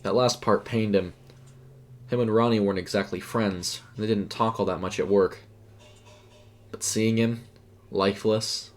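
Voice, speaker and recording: A man narrating a scripted horror story. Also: fairly low pitch. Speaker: narrator and voice performer.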